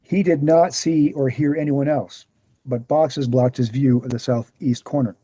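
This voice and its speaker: A man reading the part of an earnest author giving a measured, explanatory lecture at a screenplay table read. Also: medium pitch, fairly even intonation, dark tone, husky, dry recording. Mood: measured, factual.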